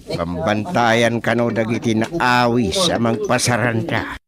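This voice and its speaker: An actor playing an excitable older village father in a Filipino radio comedy drama, with an Ilocano accent and drawn-out exclamations. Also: mid-range voice, a loud crowd, lightly acted. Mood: resigned.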